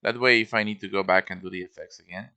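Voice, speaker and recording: A man's voice: low voice, fairly even intonation, fast talker, sharp tone, clean tone, muffled recording. A man giving a casual show-and-tell tutorial as a game modder, informal and thinking aloud as he works.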